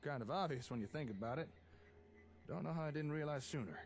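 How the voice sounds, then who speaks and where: An easygoing tinkerer from a post-apocalyptic game, played by a man, with a highish, lively voice. He is folksy and chatty, explaining technical things with keen enthusiasm. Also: fairly quick. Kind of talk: conversational video game dialogue.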